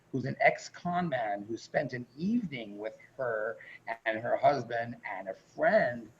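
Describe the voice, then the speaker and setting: A man with a gravelly, creaky voice gives a casual host's introduction. His delivery is informal and chatty, with playful emphasis. The video-call sound is dull and slightly noisy. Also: medium pitch. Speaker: host introducing performers.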